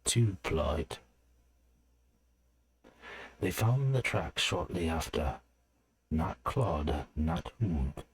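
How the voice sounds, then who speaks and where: A man's voice: rumbling low, big pitch swings, slow. A man reading scripted fantasy story narration as a narrator.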